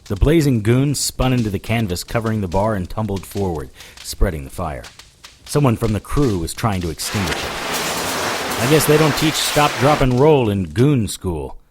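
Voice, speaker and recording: Low-voiced wry hardboiled investigator, played by a man. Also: lively intonation, moderate pace, noisy background, close to an everyday voice. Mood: hardboiled narrating. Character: tough wry male investigator, narrating his own brawls and adventures. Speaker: lead actor and narrator. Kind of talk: audio drama, hardboiled first-person narration and dialogue.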